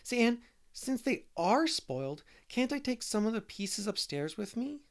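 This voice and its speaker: Man putting on a whiny, high-pitched small boy. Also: big pitch swings, unhurried, gravelly, heavily acted. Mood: wheedling. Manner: whimpering, wailing, wheedling. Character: small mischievous boy, whiny, pleading and cheeky.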